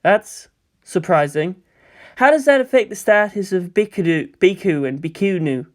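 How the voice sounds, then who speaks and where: Teenage boy student read by a man, high-pitched, curious, polite, frank, storybook dialogue. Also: expressive, a little slow. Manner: questioning, frank.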